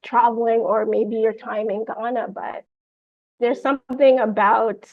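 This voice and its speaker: Woman guest on a podcast, higher voice, kinda muffled lo-fi sound, earnest, lots of ums. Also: little pitch movement, a little slow. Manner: earnest, searching for words with frequent fillers. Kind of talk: conversational interview chat.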